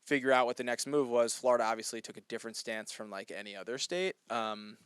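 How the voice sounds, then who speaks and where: A man's voice: mid-range voice, fast talker, a bit bright, clear, resonant tone, no room sound, clean studio sound. A man from New Jersey hosting a casual interview podcast, talking loosely with plenty of "like" fillers. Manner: chatty, lots of "like" fillers. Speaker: podcast host.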